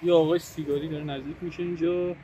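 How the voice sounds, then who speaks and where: A man's voice: a little high, flat delivery, dark tone, a little hiss or hum. A young man co-hosting a casual vlog outdoors, trading joking radio banter with a friend. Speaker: co-host.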